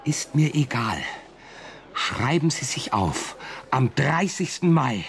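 An actor playing a desperate farmer in a German radio drama, speaking in an insistent, challenging manner. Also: male voice, mid-range voice, very animated, slow, creaky, exaggerated voice. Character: desperate, insistent male farmer pleading for his land.

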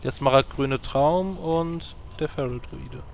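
A man's voice: mid-range voice, takes it slowly, gravelly, a little hiss or hum. A man playing a dry, sarcastic male druid who leads a guild, in a parody audio drama.

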